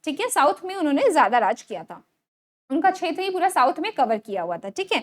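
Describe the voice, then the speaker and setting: A woman with an Indian accent and a very high, swooping voice gives an online exam lesson. She reads out questions and choices in an instructional way, then repeats the key answer and checks in often. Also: big pitch swings, quick pace. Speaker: online exam-prep teacher. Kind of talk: quiz lesson, reading questions and options then giving answers.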